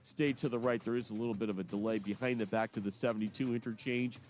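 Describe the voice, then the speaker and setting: A man with a stuffed-up nose giving a brisk, clipped radio traffic report. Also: on the low side, fairly even intonation, a little mellow, faint music behind.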